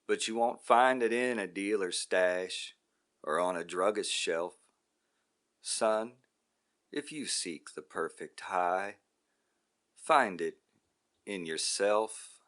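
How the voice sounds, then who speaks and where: A serene, godlike old guru, voiced by a man reading a poem, his voice dropped low and soft. He speaks slowly and evenly, with a bright, clear edge to the timbre. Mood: calm. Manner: calm, low and hushed, drawn out. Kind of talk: poetry reading.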